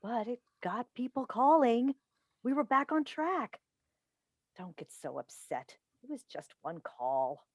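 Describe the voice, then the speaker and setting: A woman's voice: on the high side, sing-song, slow, no echo, studio quality, exaggerated voice. A woman in a staged play reading, playing a chatty, coaxing radio call-in host and doting, meddling mother with an emphatic theatrical delivery. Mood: flustered, apologetic. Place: indoors on a video call. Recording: recorded over video call.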